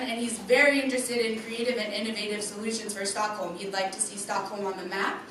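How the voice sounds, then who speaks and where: A young woman giving a rehearsed student project presentation straight to a live audience. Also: medium pitch. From USA. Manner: clear rehearsed delivery, speaks straight to the audience. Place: darkened presentation room with an audience. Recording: echoey room from far off, background noise.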